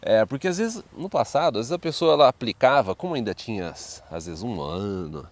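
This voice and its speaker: A man's voice: medium pitch, sing-song, a little fast. A Brazilian man co-hosting a casual advice chat outdoors, rambling and often echoing and agreeing. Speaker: video co-host and study-abroad adviser. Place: outdoors in a wooded residential neighbourhood.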